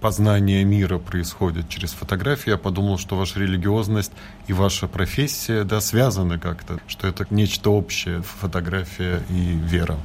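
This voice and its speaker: A man interviewing a photographer at an exhibition. His voice sits low, and he asks probing questions in an easy, conversational way. Faint background noise runs underneath. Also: steady tone, moderate pace, a little mellow.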